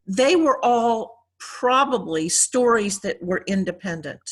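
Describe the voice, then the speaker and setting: Casting: female priest leading a study class, mid-range voice, deliberate explanatory delivery with pauses. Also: mid-range voice, expressive. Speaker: priest teaching a Bible class. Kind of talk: informal explanatory teaching.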